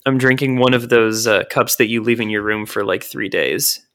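A young man co-hosting a casual podcast chat, with a gravelly just-woken voice and a rambling, halting, dry-humored way of talking. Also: medium pitch, steady tone, a bit bright. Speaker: podcast co-host.

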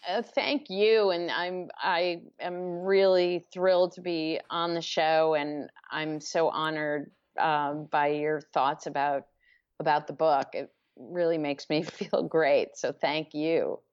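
A woman author as an interview guest, answering in a measured, reflective way. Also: low voice, fairly even intonation, smooth, highs cut off. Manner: measured, reflective, uses images to explain.

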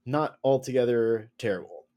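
A man's voice: medium pitch, normal speed, mellow. A man hosting a casual podcast, easygoing and upbeat. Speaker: podcast host. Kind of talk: casual chat.

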